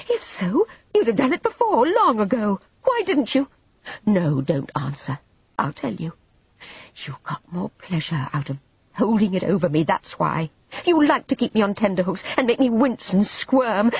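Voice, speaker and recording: A woman voicing a shrill, nervous, sneering and craven younger woman in a dramatised storybook reading. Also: gravelly, breathy edge, full character voice. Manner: bitter, rattled, sharp short laugh. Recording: roomy, slightly dull audiobook recording.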